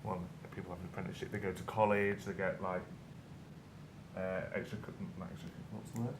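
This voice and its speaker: A man's voice: flat delivery, fairly quick. A young man co-hosting a student show, talking in a casual discussion style. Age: young adult. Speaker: student radio show co-host. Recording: roomy echo, clear rumbling noise.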